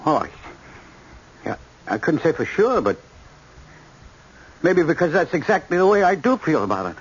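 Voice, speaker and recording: An actor playing a frank, brooding young male killer in prison, halting as he searches for words, in a vintage radio drama. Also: on the high side, big pitch swings, breathy edge. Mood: brooding, confessional. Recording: dull lo-fi old radio recording.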